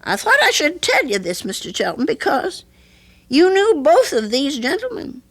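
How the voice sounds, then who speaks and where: An older landlady in a vintage radio drama. Her voice is high and bright, swinging expressively as she speaks. She is earnest and troubled, halting as she feels her way through each thought. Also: big pitch swings, heavily acted. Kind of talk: old-time radio drama acting.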